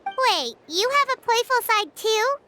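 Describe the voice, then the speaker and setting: A woman voicing a tiny, chirpy female floating companion who is curious and easily excited. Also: very high-pitched, measured pace, a little hiss or hum, heavily acted. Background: game music.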